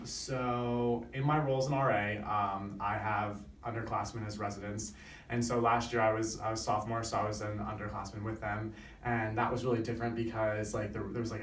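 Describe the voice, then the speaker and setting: A young man talks loosely, his sentences full of fillers and restarts. He sounds roomy and echoey, picked up from some distance by the mic, with a faint hiss behind him. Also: lowish voice. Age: young adult. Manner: rambling, many "like" fillers. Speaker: student interviewee. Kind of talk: casual interview answer.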